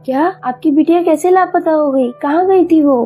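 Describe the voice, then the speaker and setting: A woman's voice: high-pitched, normal speed, narrow, tinny audio, faint music behind, close to an everyday voice. A woman voicing a brave, determined young village wife in a cartoon story, earnest and emphatic. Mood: shocked, indignant. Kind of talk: Hindi cartoon story acting.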